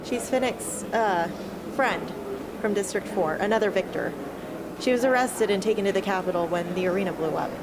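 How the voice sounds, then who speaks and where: A woman's voice: medium pitch, normal speed, lightly acted. A woman playing a defiant, guarded young rebel hunter in an amateur radio drama, speaking in a blunt, challenging way. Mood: insistent. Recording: faint hum and background noise.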